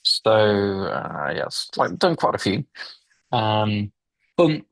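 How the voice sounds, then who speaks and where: A man's voice: fairly low pitch, animated, very slow, creaky, fairly bright tone, no room sound, poor audio. A man hosting a webinar panel, talking in a hedging, conversational way. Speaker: webinar host.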